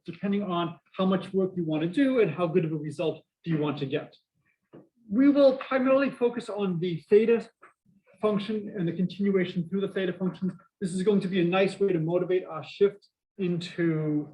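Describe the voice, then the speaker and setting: A middle-aged man with a Boston accent giving a university math lecture, lively and full of asides and questions to the class. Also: high-pitched, low-quality recording. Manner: energetic, prone to asides and questions to students. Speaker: math professor.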